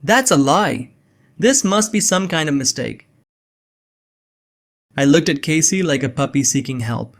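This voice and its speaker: A man's voice: fairly high pitch, lively intonation, measured pace, long pauses. A young man playing a bewildered innocent framed as an assassin, narrating his own story in a scripted drama. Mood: startled, incredulous. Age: young adult. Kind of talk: first-person scripted narration with dialogue.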